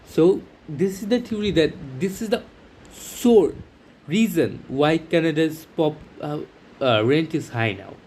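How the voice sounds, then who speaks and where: Young man from Bangladesh, higher voice, excited, stop-start vlog talk, slight background hiss. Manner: excited, halting, with many restarts and fillers. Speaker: vlogger. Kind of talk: casual talk to camera.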